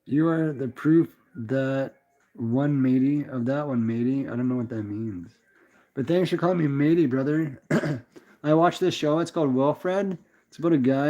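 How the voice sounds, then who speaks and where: A man's voice: mid-range voice, dark tone. Laid-back Canadian guy, slightly muffled. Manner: laid-back, rambling, calls everyone brother. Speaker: livestream host reading chat. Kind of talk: casual livestream chat. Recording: slightly dull lo-fi sound.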